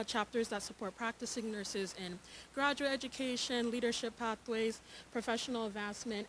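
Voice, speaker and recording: A woman with a soft voice, a nursing lecturer presenting at a panel, earnest and dotted with ums and uhs. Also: mid-range voice, a little hiss or hum, poor audio. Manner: earnest, with frequent um and uh fillers.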